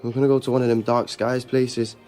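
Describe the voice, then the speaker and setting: A man's voice: medium pitch, flat delivery, fairly quick, dark tone, soft background music, natural voice. A male actor in a radio drama playing a sullen, hurt teenage boy with a terse, downbeat delivery. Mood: wistful, downcast. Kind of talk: naturalistic radio drama acting.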